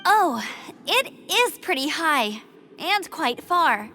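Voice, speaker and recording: Brief: lively young female scout for a game, very high, swinging pitch, quick to take offense. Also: exaggerated voice. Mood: indignant. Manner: brisk, earnest, easily riled. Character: spirited young female scout, eager and proud. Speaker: game character. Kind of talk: video game voice acting.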